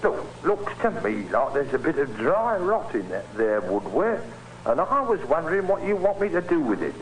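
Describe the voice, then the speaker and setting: Scheming Cockney handyman, animated, noisy recording. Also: fairly high pitch, normal speed. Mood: falsely deferential. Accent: London Cockney. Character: scheming working-class handyman turned cold-blooded murderer. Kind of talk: old-time radio drama acting. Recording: noisy vintage radio recording.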